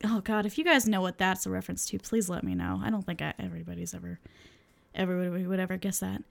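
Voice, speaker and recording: A woman's voice: lowish voice, animated, fast talker, vocal fry. A young woman co-hosting a podcast, chatting casually with lots of fillers and laughs, swearing freely. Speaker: podcast co-host.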